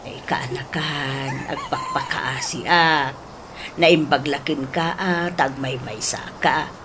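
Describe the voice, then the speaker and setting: A woman playing a pleading middle-aged mother and aunt in an Ilocano radio drama, speaking slowly and emotively with an Ilocano Filipino accent. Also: fairly low pitch, fairly bright tone, slight background noise, full character voice. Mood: persuasive, grateful. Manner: slow, drawn-out, emotive delivery.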